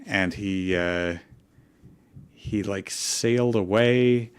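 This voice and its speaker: A man with a slightly low voice talks casually as a co-host. He goes slowly and haltingly, searching for words as he improvises. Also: clean tone. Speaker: co-host of a fanfiction reading. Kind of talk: casual chat, improvised recap.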